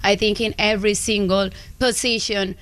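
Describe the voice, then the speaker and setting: A woman's voice: medium pitch, flat delivery, moderate pace, a bit bright, rough recording. A Colombian woman from Medellín, a native Spanish speaker, chatting as a guest in a radio interview with a strong accent, often searching for words. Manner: searching for words, many fillers and restarts. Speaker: guest singer.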